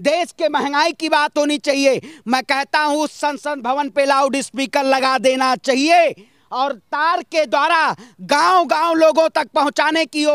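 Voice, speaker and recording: High-pitched, emphatic man from northern India. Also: a bit bright, dry recording, clean studio sound. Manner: emphatic, rhetorical, with rhyming slogans and repetition. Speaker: interviewee giving political opinions. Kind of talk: impassioned political street speech.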